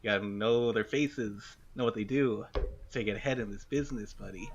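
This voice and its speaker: A man's voice: on the low side, a little fast, lo-fi sound, slight background noise, close to an everyday voice. A man playing a chummy, patronising showbiz band manager in a tabletop game, glib and coaxing. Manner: glib, buddy-buddy, repetitive coaxing.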